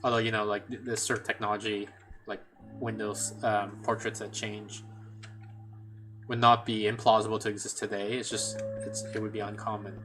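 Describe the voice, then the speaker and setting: A man's voice: lowish voice, flat delivery, moderate pace. A man streaming a game, thinking aloud in a chatty way with many restarts. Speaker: gameplay streamer. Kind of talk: casual let's play commentary. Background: game music.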